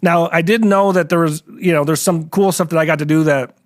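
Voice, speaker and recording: A middle-aged man, an author guest in an interview, telling stories in a relaxed, animated way and acting out quotes. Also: a little high, a little fast, no echo, clean studio sound. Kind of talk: casual interview storytelling.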